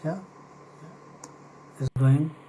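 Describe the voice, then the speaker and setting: Man with halting English, mellow higher voice. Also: slight background noise. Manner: halting, broken non-native English phrasing. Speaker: host of a travel tips channel. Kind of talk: casual screen-recorded tutorial commentary.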